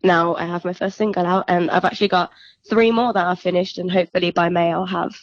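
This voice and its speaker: A woman's voice: on the low side, fairly even intonation, quick pace, no echo. A young woman, a singer-songwriter, chatting casually and reflectively as a guest on a phone interview. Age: young adult. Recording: dull, lo-fi phone interview line.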